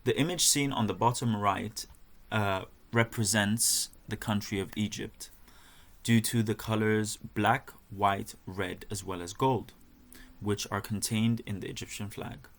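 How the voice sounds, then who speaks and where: A young man with a fairly low voice walks through his art projects like a student presentation. He speaks in a measured, careful way, sometimes pausing on a filler or restarting a phrase. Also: fairly even intonation, fairly bright tone. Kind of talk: reading a presentation.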